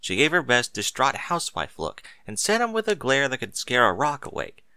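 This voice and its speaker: A man reading a story aloud as narrator, in expressive scripted narration. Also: mid-range voice, moderate pace, smooth, no room sound.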